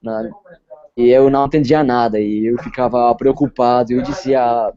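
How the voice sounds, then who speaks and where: A man's voice: medium pitch, steady tone, moderate pace, warm tone. A Colombian man with a Spanish accent, talking in an interview and often repeating words as he searches for his phrasing. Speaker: interview guest. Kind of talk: casual interview chat. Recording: slightly dull lo-fi sound.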